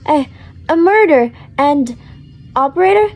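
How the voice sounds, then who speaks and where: A woman's voice: high-pitched, lively intonation, a little slow, faint music behind. A young woman in an amateur radio play, playing an anxious, demanding invalid wife with a fretful, insistent manner. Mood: horrified, urgent. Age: young adult.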